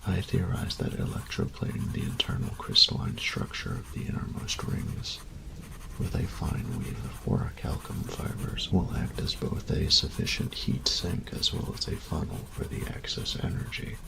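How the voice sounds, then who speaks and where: A man playing a meticulous, reclusive artificer in an audio roleplay, dictating formally and precisely in a gravelly, breathy voice. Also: rumbling low, sing-song, normal speed, noisy background, full character voice. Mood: formal, focused. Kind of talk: scripted audio roleplay acting.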